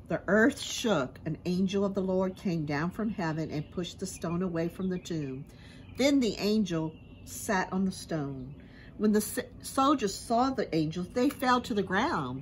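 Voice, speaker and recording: A middle-aged woman tells a children's story like a preschool teacher, in simple, expressive phrases. Her voice sits at an average pitch and moves up and down in a lively way. She is recorded outdoors, with faint background noise. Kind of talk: reading a Bible story aloud to young children. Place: outdoors in a sunny grassy backyard. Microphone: camera a short distance away.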